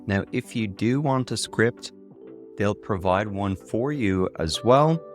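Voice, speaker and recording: An Irish man hosting a casual software tutorial in an enthusiastic way. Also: lowish voice, animated, slow, soft background music. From Ireland. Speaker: tutorial video host.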